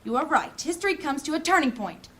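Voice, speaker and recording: A woman's voice: high voice, lively intonation, quick pace, fairly bright tone. A woman acting a strong-willed, suspicious female mage in a fantasy audio drama, with a formal, theatrical delivery. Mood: impassioned, indignant.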